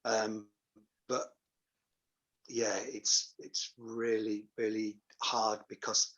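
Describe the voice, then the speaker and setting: A man's voice: on the low side, flat delivery, drawn-out words, fairly bright tone, dull audio. A middle-aged or older man chatting casually and enthusiastically as an interview guest, repeating phrases for emphasis. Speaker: pantomime dame, interview guest. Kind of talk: casual chat.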